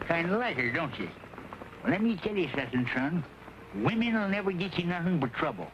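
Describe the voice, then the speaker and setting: A man's voice: fairly high pitch, very animated, a little fast. An actor playing a cantankerous old Texan frontiersman with a folksy, grumbling Southern drawl in a western. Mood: gruff advice. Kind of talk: 1940s western film acting. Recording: muffled, noisy old film soundtrack.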